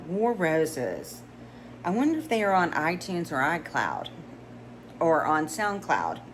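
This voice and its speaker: A woman's voice: on the low side, slight background noise, rough recording. A woman reading a romance novel aloud in a conversational way, with small stumbles and restarts. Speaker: audiobook reader.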